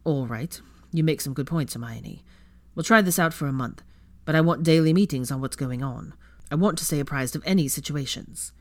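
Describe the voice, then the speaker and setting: A woman voicing a dignified male minister who speaks in a measured, authoritative way. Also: sits low, darkish tone, no echo, natural voice. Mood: firm. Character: dignified older male minister, suspicious, vain.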